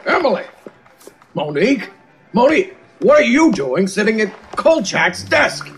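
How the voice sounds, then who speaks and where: A man playing a loud, impatient and tactless newspaper editor in an audio drama, barking at staff. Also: high voice, sing-song, unhurried, soft background music.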